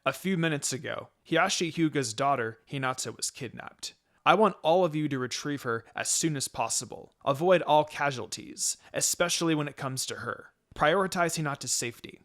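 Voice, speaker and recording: A man voicing a grave old male village leader giving measured orders in a fan fiction reading. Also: a little high, a bit bright. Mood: grave, commanding.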